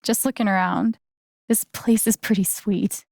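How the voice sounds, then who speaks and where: Casting: young female game lead, new in town, friendly and dryly funny, natural delivery, medium pitch, close dry recording. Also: steady tone, measured pace, vocal fry, a little mellow, dry recording. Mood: casual, curious. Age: young adult. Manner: casual, dryly joking, conversational. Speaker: video game voice actress.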